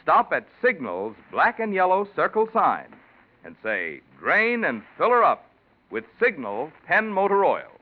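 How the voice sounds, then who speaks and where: A man's voice: sits high, wildly swooping pitch, a little slow. An American man announcing and reading sponsor copy on 1940s radio in a polished, emphatic sales delivery. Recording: tinny 1940s radio, faint music.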